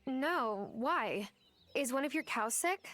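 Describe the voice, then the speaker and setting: A woman's voice: sits high, lively intonation, slow pace, a bit bright, full character voice. A woman voicing an eager, puzzled young farm woman in video game dialogue. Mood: concerned, surprised.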